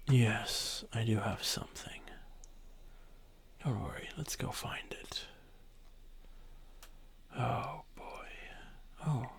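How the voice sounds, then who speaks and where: A man playing an arrogant, domineering dragon thousands of years old, in a breathy, creaky half-whisper for a fantasy ASMR roleplay. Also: medium pitch, exaggerated voice. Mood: condescending, reassuring, then proud, instructive. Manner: slow, haughty, deliberate.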